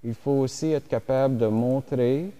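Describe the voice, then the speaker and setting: A man whose first language is English, giving a slow, reflective French homily as a bishop at mass, with pauses and fillers. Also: medium pitch, warm tone, clean tone. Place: church. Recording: reverberant church hall.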